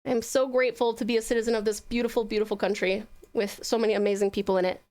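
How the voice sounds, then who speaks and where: A woman with a high voice hosts a casual livestream. She talks to her viewers in a chatty, gushing way, sweet and full of endearments. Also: little pitch movement, racing, a little mellow. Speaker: livestreaming virtual streamer host.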